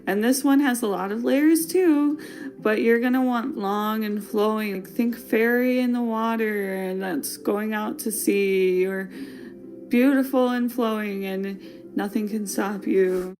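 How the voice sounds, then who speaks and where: Chatty woman host, Wisconsin accent, playful delivery, soft music bed. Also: medium pitch, slow. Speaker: podcast host. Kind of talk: casual fashion podcast chat.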